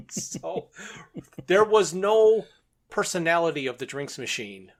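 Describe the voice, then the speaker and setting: Higher-pitched man casually recapping a plot. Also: lively intonation, takes it slowly. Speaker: podcast co-host.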